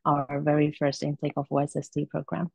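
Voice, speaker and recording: A woman's voice: low voice, monotone, fairly quick, gravelly, darkish tone, slightly rough, no echo. A woman from Myanmar, a college center director, introducing herself politely on a video call. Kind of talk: formal self-introduction. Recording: dull, dry video call audio.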